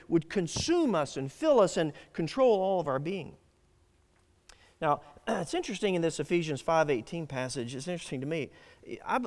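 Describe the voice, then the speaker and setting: A male pastor preaches in an earnest, emphatic way, his voice sitting high and swinging widely up and down. He often stops and restarts a phrase. The sound carries a long reverb, as in a big church sanctuary. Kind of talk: sermon.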